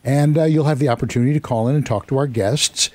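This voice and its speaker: Hoarse, chatty male radio host. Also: medium pitch, quick pace, a little mellow, dry recording. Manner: chatty, self-deprecating, rambling. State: hoarse, losing his voice. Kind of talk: casual radio talk. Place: radio studio. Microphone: studio microphone.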